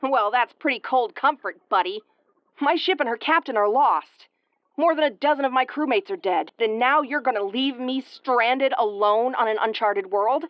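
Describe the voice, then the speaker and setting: A woman's voice: fairly high pitch, moderate pace, no room sound, dull audio, lightly acted. Woman acting a brusque tomboy starship commander, close and dry. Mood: indignant. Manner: brusque, stammering when flustered. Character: tough tomboyish tsundere woman starship commander, prickly but caring. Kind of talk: intimate audio roleplay acting.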